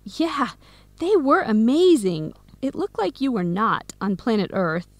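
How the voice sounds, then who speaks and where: Supportive young american gal in radio play, bit high voice, conversational, no room sound. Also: female voice, very animated, a little slow, dry recording. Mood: friendly. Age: young adult. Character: supportive, hardworking young American woman, aspiring coffee-shop owner. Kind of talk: scripted educational radio drama dialogue.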